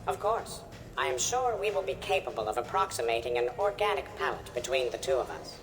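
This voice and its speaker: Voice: mid-range voice, big pitch swings, brisk, a bit bright, faint music behind. An actor playing a polite synthetic being in a video game, speaking formally and precisely. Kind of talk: video game character acting.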